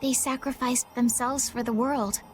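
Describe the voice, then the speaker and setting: A woman voicing a gentle, earnest and naive young noblewoman in an anime-style game, soft and sincere. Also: fairly high pitch, fairly even intonation, unhurried, faint music behind, natural voice. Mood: solemn.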